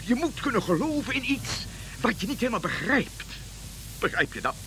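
Radio drama: elderly dreamer king, bright and animated, stammering, excitable, full of faith. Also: extremely high pitch, a little fast, a little hiss or hum, heavily acted. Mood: earnest. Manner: excitable, stammering, repeating himself. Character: old Balthasar, fervent dreamy wandering king following a star. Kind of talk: Dutch radio play acting.

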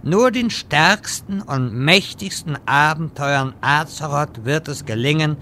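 A vain, theatrical wizard acted by a man in a parody audio drama. His voice is bright and forceful, set a little high, and he speaks in pompous, stilted phrases. Faint noise sits behind him. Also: slow, heavily acted. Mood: solemn. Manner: grandiloquent, stilted wording. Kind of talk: parody radio-play acting.

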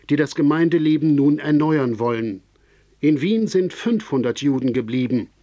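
A man reading a scripted radio documentary narration in a solemn, measured manner. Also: on the high side, darkish tone, natural voice.

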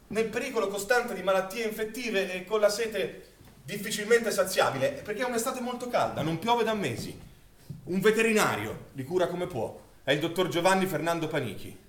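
An actor playing a bitter villager who recounts wartime occupation in a vivid, theatrical radio-play monologue. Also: male voice, high-pitched. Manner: expressive, dramatic storytelling delivery. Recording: roomy, distant stage recording with faint noise.

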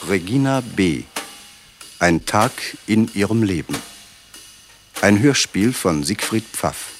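A man's voice: fairly low pitch, animated, takes it slowly. A man announcing a radio play in 1960s broadcast style. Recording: archive radio recording with music.

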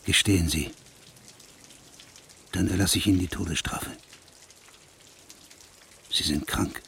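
An actor playing a stern, methodical investigating judge in a radio drama, measured, probing and authoritative. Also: male voice, deep voice, fairly even intonation, gravelly, a bit bright, slight background noise, exaggerated voice. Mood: accusing, stern.

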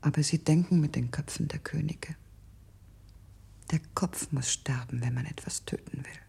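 Bitter rebel slave woman, very husky n breathy, creaky, sci-fi radio play. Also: deep voice, normal speed, dark tone, heavily acted. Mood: coldly resolute. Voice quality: husky, breathy, half-whispering. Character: embittered rebellious enslaved woman, secretly clever, in science fiction. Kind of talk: 1970s German radio drama acting.

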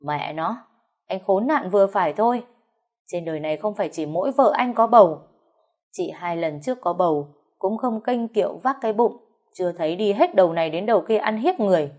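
A woman's voice: on the low side, animated, moderate pace, darkish tone. A woman voicing a hot-tempered young husband who protects his wife, speaking in harsh, clipped bursts. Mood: furious.

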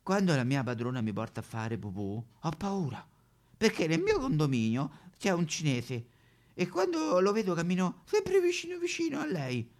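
A man's voice: on the high side, wildly swooping pitch, moderate pace. A man voicing a bitter, fearful mongrel dog in a satirical stage monologue, grumbling in colloquial Roman-accented Italian. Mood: anxious, complaining. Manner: grumbling, colloquial, exaggerated complaining.

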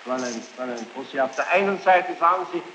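A man questioning a witness formally and insistently, in long, halting sentences. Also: medium pitch, crowd noise. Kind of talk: formal courtroom-style questioning. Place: large reverberant room. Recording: noisy echoing archival tape of hearing.

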